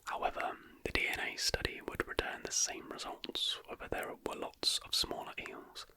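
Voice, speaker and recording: Whispering ASMR host, all breath and crisp brightness. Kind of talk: whispered ASMR, reading aloud and commenting.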